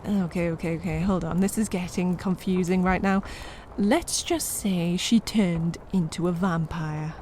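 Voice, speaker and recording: A woman's voice: on the low side, unhurried, few pauses, darkish tone. Wry woman narrator, slight background noise. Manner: wry, exasperated asides to listeners. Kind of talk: scripted comedic horror storytelling.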